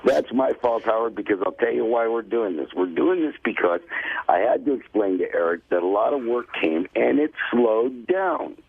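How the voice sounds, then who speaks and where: A man's voice: mid-range voice, animated, normal speed, gravelly, slightly rough. A man calling into a radio show over a muffled phone line, profane and insistent, cutting in as he talks. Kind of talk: casual phone-in chat. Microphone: telephone.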